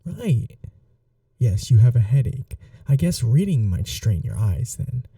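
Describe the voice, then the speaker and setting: A man playing a courteous, caring gentleman vampire in an intimate ASMR roleplay, speaking softly in an unhurried, polite, slightly old-fashioned way. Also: medium pitch, sing-song, no room sound, studio quality, far from the mic. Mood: soft, considerate.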